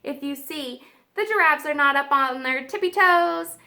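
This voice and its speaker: Bright-voiced woman teacher reading to children. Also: high-pitched, normal speed. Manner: simple child-directed questions, emphasizing key words. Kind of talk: children's nonfiction read-aloud with explanatory asides.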